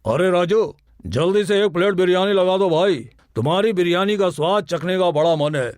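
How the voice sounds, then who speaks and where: A man's voice: sits high, moderate pace, a little mellow, no echo, clean studio sound, close to an everyday voice. A man voicing a blunt, colloquial village trader in a cartoon moral story. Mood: eager. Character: blunt male village trader, a familiar local. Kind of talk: Hindi animated moral story dialogue.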